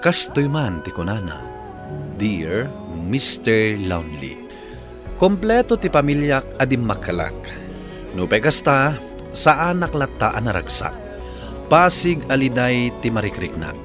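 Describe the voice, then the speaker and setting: A man's voice: mid-range voice, sing-song, a little slow, pauses often. A man with an Ilocano Filipino accent hosting a radio letter drama in a scripted narration style. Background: background music.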